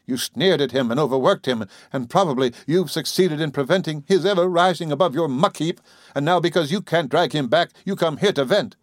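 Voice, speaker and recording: A man's voice: mid-range voice, normal speed, dry recording, clean studio sound, voice pushed up, lightly acted. A man reading an audiobook, voicing an indignant young woman who stands up defiantly to a bully. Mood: enraged. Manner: defiant, stammering under pressure.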